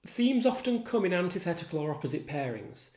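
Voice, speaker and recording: A man lecturing as a literature tutor, measured, articulate and instructive in his delivery. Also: sits high, very animated, a little mellow. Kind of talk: literature lecture with recited quotations. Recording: roomy echo.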